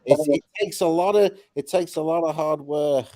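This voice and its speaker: A man's voice: a little high, moderate pace, mellow, close to an everyday voice. A man playing a brash, foul-mouthed Scouse chancer who baits the police, with a Scouse accent, swaggering and calling everyone lad and mate. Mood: swaggering rant. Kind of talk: comic put-on character on a live chat.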